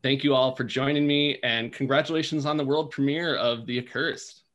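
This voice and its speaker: A man hosts a film Q&A over video in a polished, eager way. His voice is bright and forward, sitting in a middle pitch. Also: fairly even intonation, normal speed, dull audio. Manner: polished, enthusiastic. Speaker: host. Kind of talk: interview hosting.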